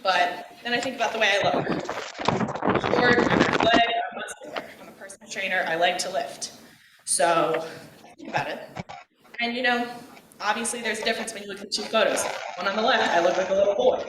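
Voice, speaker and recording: A woman's voice: mid-range voice, brisk, heavy background noise. Young woman, bold and outgoing speaker, far-off mic, roomy echo. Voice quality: outgoing, loud and direct. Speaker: speaker giving a talk. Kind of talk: personal speech on gender roles. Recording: echoey room, voice from afar.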